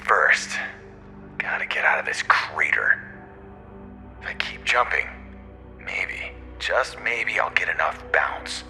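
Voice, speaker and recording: An actor playing a stranded, desperate male astronaut talking to himself, halting, strained and short of breath. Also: low-pitched, expressive, bright, forceful tone, soft background music, heavily acted. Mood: strained, determined. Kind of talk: dramatic audio drama monologue.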